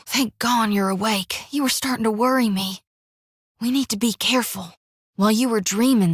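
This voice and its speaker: A woman's voice: a little high, no room sound, lightly acted. An actress voicing a devout young woman priest in a fantasy game, talking in an earnest, folksy way. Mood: reverent. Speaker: companion character in a fantasy game. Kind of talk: video game voice acting. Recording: dry studio.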